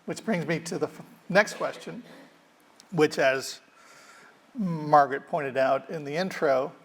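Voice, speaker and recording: A man's voice: on the high side, unhurried, a bit bright, poor audio. A man moderating an onstage artist interview in an auditorium, talkative, repeating words as he leads the questions. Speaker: curator and moderator.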